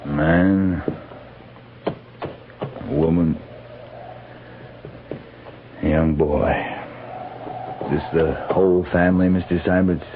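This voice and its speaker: Stern cavalry captain on scratchy old radio. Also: male voice, low-pitched, steady tone, extremely slow, pauses often. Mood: grim, subdued. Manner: clipped, authoritative, gruff. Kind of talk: 1950s radio western acting. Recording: scratchy, narrow-band old radio recording.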